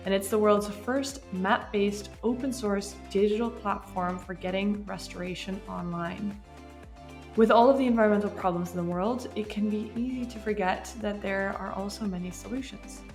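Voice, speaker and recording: A woman hosting a podcast, reading an upbeat scripted introduction and then interviewing her guest. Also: medium pitch. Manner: upbeat, enthusiastic. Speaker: podcast host.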